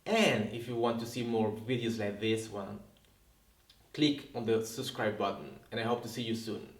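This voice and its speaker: Earnest man, low voice, roomy distant sound. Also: normal speed. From Latin America. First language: Spanish. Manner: earnest, repeats words when searching for them. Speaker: language teacher and video host. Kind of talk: reflective talk to camera.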